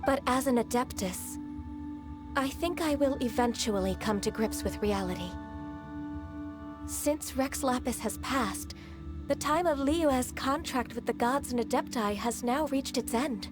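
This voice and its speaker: A woman playing a gentle, dutiful half-human adeptus secretary in a video game, with polite, formal, sometimes hesitant speech. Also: high-pitched, expressive, a little slow, music in the background. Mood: hesitant, sorrowful.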